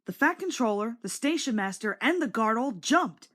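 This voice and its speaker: Animated woman narrating a children's storybook. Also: fairly high pitch, normal speed. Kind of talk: scripted children's read-along narration.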